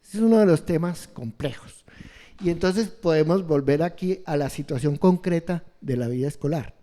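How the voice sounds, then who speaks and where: Man, slightly high voice, dark mellow tone, halting, frequent restarts. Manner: slow, halting, many restarts and fillers. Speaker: education expert guest. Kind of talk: explanatory interview answers.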